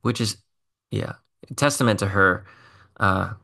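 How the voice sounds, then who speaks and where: A man with a lowish voice and a crisp, bright edge to it. He talks like a musician guest in a relaxed interview, rambling through a story with fillers and a self-mocking humor. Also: a little slow. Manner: chatty, self-deprecating, loose with fillers.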